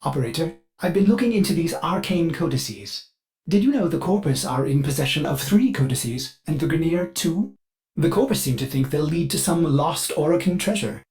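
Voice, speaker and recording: Fussy, swooping robotic butler. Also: male voice, a little high, expressive, normal speed, darkish tone, soft background music. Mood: informative. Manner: mannered, formal, refers to itself in third person. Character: polite, fussy, eager male ship AI servant, prone to slips. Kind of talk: scripted game character voice lines.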